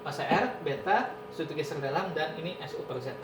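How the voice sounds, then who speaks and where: A man's voice: lively intonation, fairly quick. A man giving an online university lecture, explaining step by step and often saying "nah" and "ini". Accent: Indonesian. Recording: echoey room with noise and rumble.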